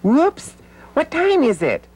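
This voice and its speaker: A woman's voice: high voice, huge pitch swings, slow, a little mellow, exaggerated voice. A woman voicing an eager, childlike male puppet letter on a children's educational show, teaching sounds with emphatic repetition. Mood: cheerful, excited.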